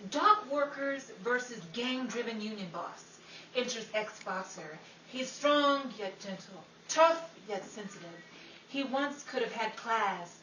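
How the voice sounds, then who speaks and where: An excitable Hollywood starlet of the fifties , acted by a woman, with a high, very bright voice. She talks in emphatic, theatrical old-time radio style, aggrieved and full of herself, heard from a distance in a roomy recording. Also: measured pace, slight background noise. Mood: dramatic pitching. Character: Betty, excitable, aggrieved 1950s Hollywood actress full of movie ideas.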